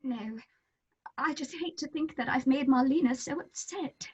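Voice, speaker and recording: A timid young woman of a theatre troupe in a horror audio drama. She speaks slowly in a high, meek, faltering voice, always apologetic. The sound is a little dull. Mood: fearful. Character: timid, apologetic young female actress, fearful. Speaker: actress.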